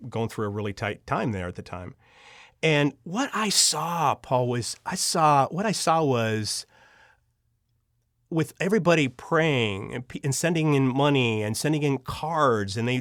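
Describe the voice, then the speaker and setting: A man's voice: medium pitch, a bit bright, no echo, clean studio sound. A man speaking as an interview guest, sharing personal testimony casually and earnestly, with emphatic repetition and frequent restarted sentences.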